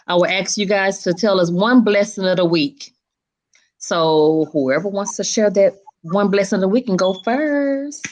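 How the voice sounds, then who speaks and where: A woman's voice: medium pitch, measured pace, darkish tone. A woman hosting a casual, chatty interview show, often repeating and affirming what others say. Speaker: talk show host.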